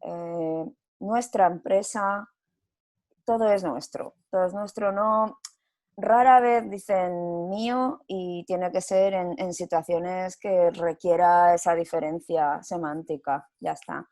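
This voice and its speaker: A woman's voice: lowish voice, fairly even intonation, slow, darkish tone. A woman with a Spanish accent from Spain, talking casually and expressively as an interview guest.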